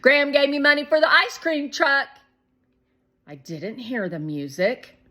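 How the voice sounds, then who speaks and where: A woman voicing an eager, excitable, boastful young boy in a children's storybook. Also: high-pitched, unhurried, voice pushed up, heavily acted. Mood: excited, eager. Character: Shake, eager loud young visiting boy.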